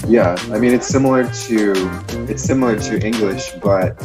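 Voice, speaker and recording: A young American man answering interview questions in a thoughtful, hesitant way, with many pauses and fillers. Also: lowish voice, over loud music. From United States. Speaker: guest.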